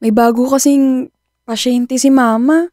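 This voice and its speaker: Concerned young daughter for radio drama: high-pitched, soft-toned, earnest, principled. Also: female voice, fairly even intonation, a little slow, no echo, clean studio sound. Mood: frustrated, earnest. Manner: earnest, questioning.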